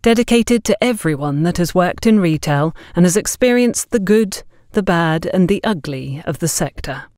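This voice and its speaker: A woman narrating a scripted audio story in a measured, expressive storytelling manner. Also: on the low side, dry recording, studio quality.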